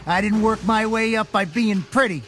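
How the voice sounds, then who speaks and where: An actor playing a ruthless, wordy gang boss with a sneering, bossy manner in a pulp radio drama. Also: male voice, high-pitched, moderate pace, over loud music, natural voice. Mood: defiant taunting. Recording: noisy sound-effect ambience behind voice.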